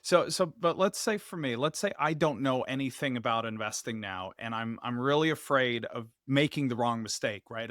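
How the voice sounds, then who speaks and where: A man's voice: mid-range voice, fairly bright tone, no echo, clean studio sound. A man hosting an interview, asking probing questions as if he were a worried listener. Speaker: podcast host. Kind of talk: conversational interview.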